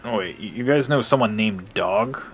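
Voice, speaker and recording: A man playing a shifty, rattled young bully in an audio drama, speaking in a halting, defensive way. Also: lowish voice, breathy edge. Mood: uneasy. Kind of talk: audio drama dialogue, conversational.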